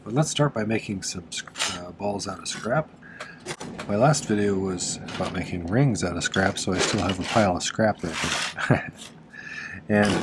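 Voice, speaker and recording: Deep-voiced male craft teacher, stuffy and nasal, laid-back and chatty. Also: noisy background. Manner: relaxed and chatty, with frequent "uh" fillers and small laughs. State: congested from allergies, slightly nasal. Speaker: silversmith teaching on his channel. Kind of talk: casual how-to narration.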